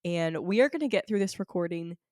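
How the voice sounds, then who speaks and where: A woman podcast host, sick with a raw, hoarse throat, chatting candidly and with self-deprecating humor from home. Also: on the low side, expressive, a little fast, dark tone, no echo, studio quality. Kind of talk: casual solo podcast chat. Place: at home, not in a studio.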